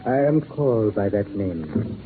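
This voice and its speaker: Old, solemn mystic sorcerer. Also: male voice, lowish voice, expressive. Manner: formal, solemn, measured. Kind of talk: 1940s radio acting. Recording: noisy narrow-band 1940s radio recording.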